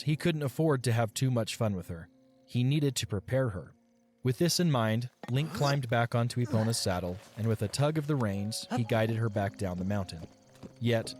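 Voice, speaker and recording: A man delivers scripted story narration for an audio drama. His voice sits somewhat low, and music plays softly behind his reading. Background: background music.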